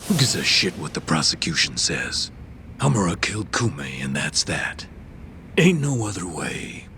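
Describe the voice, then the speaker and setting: Gruff, deep-voiced, hot-tempered yakuza thug. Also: male voice, big pitch swings, unhurried, pushed and bright, slight background noise, full character voice. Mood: dismissive, irritated. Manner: gruff, belligerent, sneering, profane. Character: hot-tempered foul-mouthed male yakuza gangster, defiant, cagey. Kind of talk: English video game dub acting.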